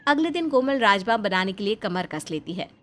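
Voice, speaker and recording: A woman's voice: mid-range voice, very animated, a little fast, music in the background. A woman narrator reading a scripted family story.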